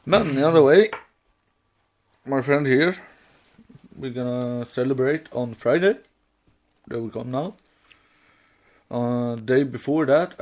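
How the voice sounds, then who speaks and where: A man's voice: medium pitch, a little mellow. A man with a Swedish accent chatting casually as a podcast co-host, searching for words in non-native English. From Sweden. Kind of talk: casual chat.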